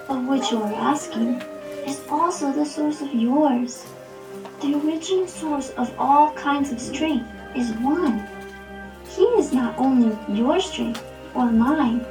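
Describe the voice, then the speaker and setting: A woman voicing a devout young boy prince in a puppet show, speaking gently and earnestly in measured phrases. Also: high-pitched. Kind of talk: scripted puppet show recitation. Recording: echoey, distant, music behind.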